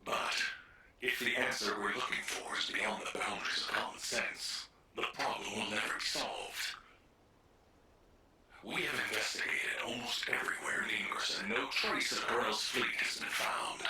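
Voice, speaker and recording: An actor playing a calm, authoritative military commander in a video game, measured and decisive, through a processed transmission effect. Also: male voice, fairly low pitch. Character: calm, authoritative male military commander, open-minded leader. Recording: echoey, distant, noisy, sharp-edged.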